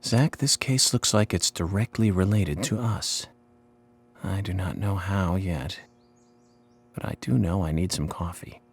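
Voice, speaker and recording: An actor playing a cool, eccentric FBI special agent in a game cutscene, deliberate and dryly formal. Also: male voice, deep voice, breathy edge, heavily acted. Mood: pensive. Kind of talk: video game cutscene acting.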